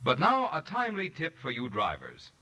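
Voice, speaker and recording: Casting: man as 1940s radio pitchman, lively swooping delivery, crisp bright edge, polished salesmanship. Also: normal speed. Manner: polished, promotional. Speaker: radio announcer. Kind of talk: 1940s radio sponsor read.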